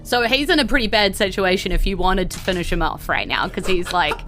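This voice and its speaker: A woman with a bright, forward voice works as game master, narrating a tabletop adventure off the cuff. She is chatty, casual and giggly, with faint music underneath. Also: medium pitch, fairly quick.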